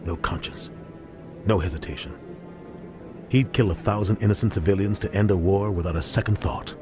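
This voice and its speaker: A man's voice: deep voice, normal speed, lightly acted. A man playing a middle-aged navy captain in a video game, grave, embittered and emphatic. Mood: somber, bitter. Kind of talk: video game cutscene acting. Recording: music behind game dialogue.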